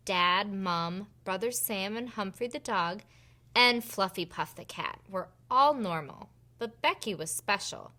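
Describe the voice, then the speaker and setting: Unhurried woman reading a children's storybook. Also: medium pitch. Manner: expressive storytelling. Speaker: children's storybook reader and host. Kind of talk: scripted picture book read-aloud for preschoolers.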